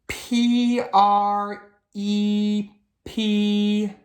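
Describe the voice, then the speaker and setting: A woman voicing a hesitant schoolgirl in a storybook spelling contest, with careful, drawn-out delivery. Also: mid-range voice, flat delivery, voice pushed up.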